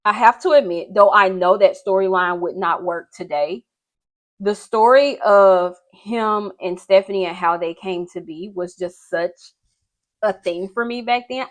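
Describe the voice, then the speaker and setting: A young woman vlogger chatting casually and gushing in informal slang while she does her makeup. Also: medium pitch. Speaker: beauty and wrestling vlogger.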